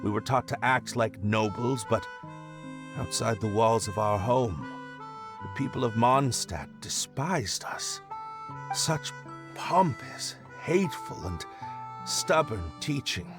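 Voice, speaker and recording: A man's voice: medium pitch, lively intonation, slow pace, music in the background. A man playing a penitent young noble in a video game cutscene, hesitant, formal and earnest. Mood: earnest, regretful. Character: penitent young male noble, disoriented and weary.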